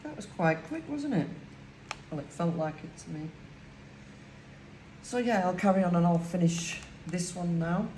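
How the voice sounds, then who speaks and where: A woman's voice: on the low side, a little slow, pauses often, mellow. An older woman from Lancashire with a broad Lancashire accent, chatting casually at home while she crafts, rambling with many fillers. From Lancashire, England. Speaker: crafting vlogger. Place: home, indoors. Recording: echoey room with faint hiss.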